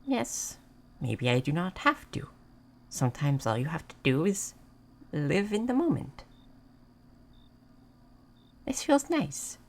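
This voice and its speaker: A woman playing a gentle, naive moth person in a roleplay audio, speaking in halting, simple, formal phrases. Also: deep voice, sing-song, heavily acted. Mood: soft wonder. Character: gentle, naive, curious moth person learning human things. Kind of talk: scripted roleplay audio addressed to the listener.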